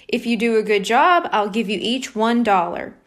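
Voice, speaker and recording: A woman's voice: fairly high pitch, normal speed, close to an everyday voice. A woman voicing a firm but affectionate mother of young boys, patient in manner, in a children's storybook.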